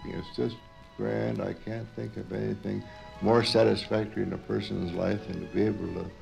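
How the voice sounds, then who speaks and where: Gravelly older American man reminiscing. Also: fairly low pitch, level tone, a little mellow, soft background music, rough recording. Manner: halting, deliberate, plain-spoken. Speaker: retired professor and engineer interviewed. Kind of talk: unscripted reminiscence in radio interview.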